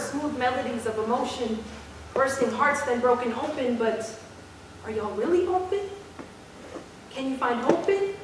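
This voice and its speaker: In a roomy, echo-heavy hall, recorded from a distance, an actress plays a defiant young female poet. Her voice is high and she delivers her lines with emphatic rhythm and passion. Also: natural voice. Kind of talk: spoken-word poetry and staged dialogue. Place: staged reading in an arts center hall. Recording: echoey hall, distant mic, faint noise.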